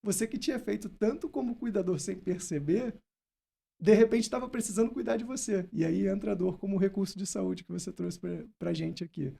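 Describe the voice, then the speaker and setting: A man's voice: sits high, a little fast, vocal fry, warm tone, breathy edge. A Brazilian man hosting a podcast, telling stories and putting questions in a casual, reflective way. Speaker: podcast host. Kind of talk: casual conversational interview.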